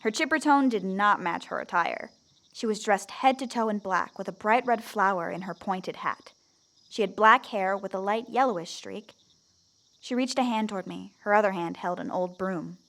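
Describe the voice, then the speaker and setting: A woman's voice: medium pitch, normal speed, a bit bright, close to an everyday voice. Woman acting a wry teenage zombie girl narrator. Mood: wry storytelling. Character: teenage zombie girl, bewildered newcomer narrating her own story. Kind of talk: scripted first-person audio drama narration.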